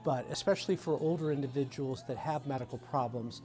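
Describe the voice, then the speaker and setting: A man's voice: medium pitch, fairly quick, mellow, soft background music. A man giving an explanatory interview soundbite as an expert.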